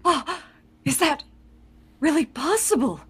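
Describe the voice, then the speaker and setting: A woman's voice: sits high, sing-song, measured pace. A woman performer at a live table read, reading script lines with playful improvised banter.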